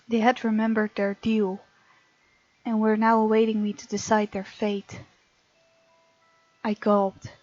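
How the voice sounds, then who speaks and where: A woman's voice: medium pitch, darkish tone, no room sound, highs cut off. A woman hosting a visual novel let's play, reading the game text aloud expressively and adding chatty reactions. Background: game music.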